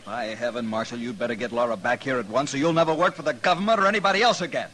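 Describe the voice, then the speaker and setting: A man's voice: mid-range voice, very fast, sharp tone, lightly acted. An American actor playing a wealthy, self-important, anxious eastern businessman, formal in speech, in a 1950s radio drama. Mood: indignant, demanding. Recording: vintage radio broadcast, faint noise.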